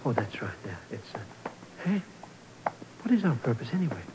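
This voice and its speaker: An actor playing an excitable, bumbling male sidekick in a 1950s radio drama, nervous, repetitive and given to stammering. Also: medium pitch, normal speed, natural voice. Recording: noisy, crackly 1950s radio recording.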